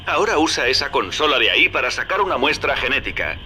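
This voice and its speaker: A wry, folksy game schemer with a Castilian Spanish accent. He gives directions with easy familiarity at a medium pitch, over clearly noisy ambience. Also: very animated, quick pace, sharp tone. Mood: brisk, scheming. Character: folksy, wry male schemer guiding a younger man by radio. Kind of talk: video game dub acting.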